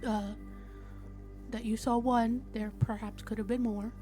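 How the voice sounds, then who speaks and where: A woman's voice: a little high, level tone, a little slow, mellow, faint music behind. A woman player casually improvising in character during a tabletop roleplaying game.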